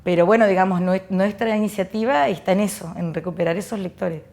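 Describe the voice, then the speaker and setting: A woman with an Argentine accent explaining informally in an interview as library staff, in a halting way with frequent filler phrases. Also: medium pitch, vocal fry, a little mellow. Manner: halting, leans on "digamos".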